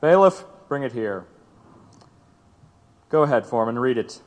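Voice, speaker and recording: A man's voice: medium pitch, lively intonation, a little slow, a little mellow, natural voice. An actor playing a stern male trial judge in an audio drama, speaking in a formal, commanding way. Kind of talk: dramatized courtroom radio acting. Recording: long hall-like reverb.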